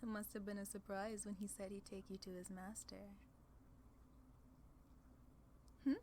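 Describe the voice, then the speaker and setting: A woman's voice: mid-range voice, little pitch movement, brisk, dark tone, slight background noise, close to an everyday voice. Demon lord, played by a woman: female, mid-register, haughty, amused, condescending, theatrical ASMR roleplay with faint background ambience. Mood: sly curiosity.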